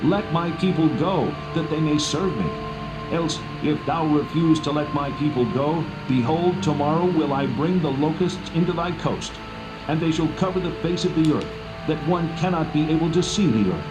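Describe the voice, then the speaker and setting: A man's voice: on the high side, moderate pace, close to an everyday voice, low-quality recording. A man playing a bold prophet who delivers divine warnings in a stern, declamatory way. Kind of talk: dramatised scripture acting. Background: prominent background music.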